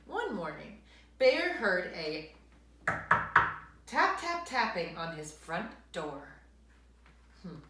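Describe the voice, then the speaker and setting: A woman storyteller reading aloud expressively to children, with dramatic emphasis, drawn-out words and hushed asides to her listeners. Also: lowish voice, very animated, background noise. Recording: echoey room.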